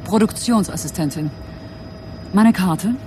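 An actress playing a charming, sly young production assistant who flatters and coaxes in a radio drama. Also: female voice, on the low side, measured pace, slight background noise, lightly acted. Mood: breezy, flattering. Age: young adult. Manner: flattering, coaxing, smooth-talking.

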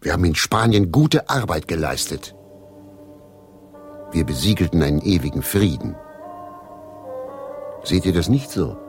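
A man playing a courteous, theatrical English actor in a radio drama, with a deep, husky voice. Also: animated, measured pace, pauses often, soft background music. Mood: hearty. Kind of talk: German radio drama acting.

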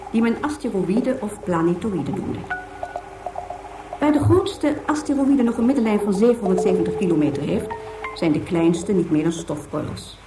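An information tape speaks as a formal, instructive woman, voiced by an actress in a science fiction radio play. The voice is echoing and distant, with clear music behind it. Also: mid-range voice, lightly acted. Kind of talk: scripted documentary narration.

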